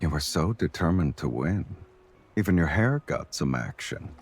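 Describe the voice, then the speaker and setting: A super low husky game boyfriend, confident n teasing, really expressive, music behind. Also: male voice, moderate pace, full character voice. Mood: gently amused. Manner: smooth-talking, playfully teasing. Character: confident, teasing, tender male romantic lead on horseback journey. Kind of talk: romance game voice acting.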